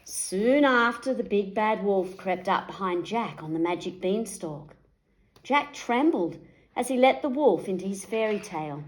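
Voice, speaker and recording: A woman reading a picture book aloud to children in an animated storytelling style. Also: medium pitch, measured pace, poor audio.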